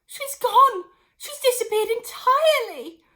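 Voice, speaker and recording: A woman voicing a frantic middle-aged farm wife who wails and shrieks in a high, shrill voice. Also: sing-song, a little fast, close to an everyday voice. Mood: wailing. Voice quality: shrill, wailing.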